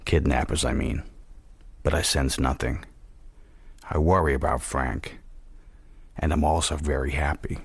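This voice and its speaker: An actor playing a worldly, watchful man narrating a radio drama in the first person, introspective, measured and dry. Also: very deep voice, steady tone, creaky, slightly rough, dry recording, heavily acted. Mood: wry, content. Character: worldly, reflective, watchful male narrator-protagonist.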